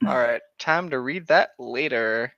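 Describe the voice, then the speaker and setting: A man's voice: medium pitch, measured pace, pushed and bright, no echo, dull audio. A man co-hosting a podcast in casual, cheeky, teasing banter. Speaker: podcast co-host.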